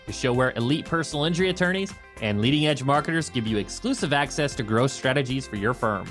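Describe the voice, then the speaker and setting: A man's voice: fairly high pitch, a bit bright. A man hosting a podcast, moving between scripted narration and interview talk. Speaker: podcast host.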